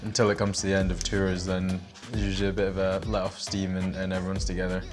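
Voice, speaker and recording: A man in his twenties, a guest athlete in casual chat in a hair salon, relaxed and self-deprecating, laughing often. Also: deep voice, quick pace, soft background music. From Portugal. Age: 20s.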